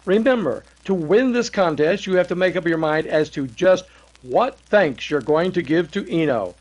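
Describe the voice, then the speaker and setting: A man's voice: sits high, lively intonation, moderate pace, poor audio. A man playing an earnest 1930s radio announcer, with a formal, salesmanlike scripted delivery. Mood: earnest, promotional.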